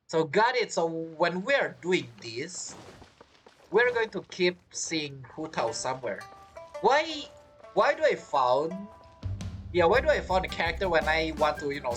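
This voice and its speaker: Female game streamer, low-pitched, very expressive intonation, casual and rambling, reacting aloud. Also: measured pace, soft background music. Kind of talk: casual let's-play commentary.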